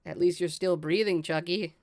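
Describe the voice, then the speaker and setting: A woman's voice: fairly low pitch, fairly even intonation, moderate pace, darkish tone, lightly acted. A woman acting a brash, sardonic young woman in an audio drama, with a dry, teasing manner. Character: brash, teasing young woman, bored with small-town life.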